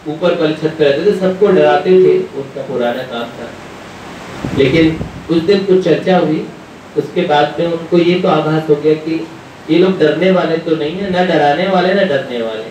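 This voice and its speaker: Higher-voiced man preaching in an echoey room. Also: a little mellow, highs cut off. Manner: conversational, rambling storyteller, often quoting dialogue. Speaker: spiritual teacher addressing devotees. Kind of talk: informal devotional discourse with anecdotes. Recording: very echoey room, distant mic, rumbling noise.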